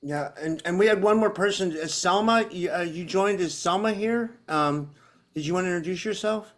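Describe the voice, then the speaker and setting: A man hosting a podcast panel, welcoming guests in a halting way with repeated words. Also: sits high, a bit bright. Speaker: podcast host.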